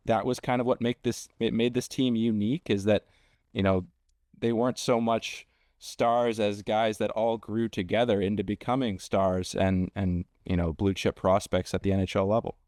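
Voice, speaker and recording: Low-voiced man, halting casual interviewer. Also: darkish tone, no room sound, clean studio sound. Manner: halting, thinks aloud, repeats words. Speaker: podcast host interviewing a guest.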